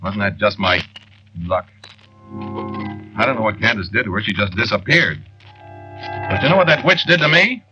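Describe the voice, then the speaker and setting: A man's voice: lowish voice, expressive, moderate pace, pauses often, music in the background, close to an everyday voice. An actor playing a weary, centuries-old henpecked man in a 1940s radio drama, confiding in a hesitant, wry way. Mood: wry recounting. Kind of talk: 1940s radio drama acting, first-person narration. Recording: dull, noisy 1940s radio recording.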